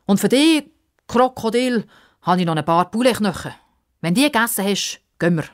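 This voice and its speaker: A woman's voice: mid-range voice, expressive, brisk, voice pushed up, lightly acted. Woman playing a jovial, folksy old man. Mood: kindly. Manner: exclaiming, muttering to himself.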